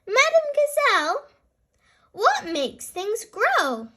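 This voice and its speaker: Woman as a squeaky-high curious little girl piglet. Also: big pitch swings, slow pace, pushed and bright, exaggerated voice. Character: small curious, excitable girl piglet at playgroup.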